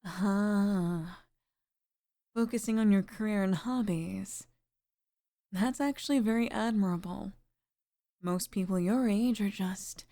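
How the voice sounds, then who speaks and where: A woman acting as a sweet middle-aged single mom in an intimate ASMR roleplay. She speaks slowly in a mellow, breathy voice that lingers near a whisper. She is tender and flirtatious, with a shy, easily flustered warmth. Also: medium pitch. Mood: tender, curious.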